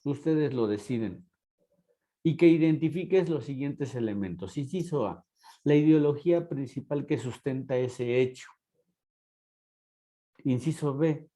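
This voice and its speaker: A man's voice: mid-range voice, mellow. A man with a Mexican accent, lecturing a class and asking rhetorical questions. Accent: Mexican Spanish. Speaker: teacher.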